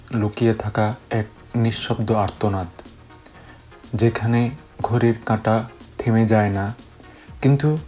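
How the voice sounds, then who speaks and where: A man recites poetry as spoken word in a measured, solemn and wistful way. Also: mid-range voice, darkish tone. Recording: music bed under the voice. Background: background music.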